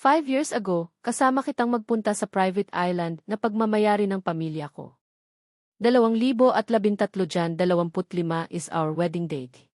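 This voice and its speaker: A woman with a Filipino accent voicing a serious, soft-spoken, possessive billionaire man in an audiobook romance, earnest and switching between two languages. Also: medium pitch, normal speed, no room sound, studio quality, lightly acted. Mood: serious, regretful.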